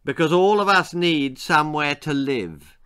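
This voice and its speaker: A man voicing a smug, squeaky little bogeyman creature who lectures insistently in a children's storybook. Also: measured pace, noisy background, lightly acted. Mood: polite, firm.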